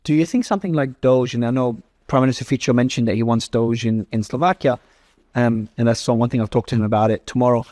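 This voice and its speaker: A man's voice: medium pitch, steady tone, quick pace, a little mellow, no echo, clean studio sound. A man from Australia, hosting an interview in a rambling, self-interrupting, enthusiastic way. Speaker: interview host. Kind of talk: conversational interview.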